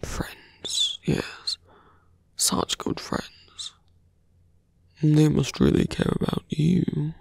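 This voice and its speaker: Man acting a slow, breathy, seductive villain. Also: fairly high pitch, huge pitch swings, dry recording, heavily acted. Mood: mocking. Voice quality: breathy, often whispering. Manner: slow, languid, taunting. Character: seductive, teasing fantasy villain man, smugly menacing. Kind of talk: ASMR roleplay voice acting.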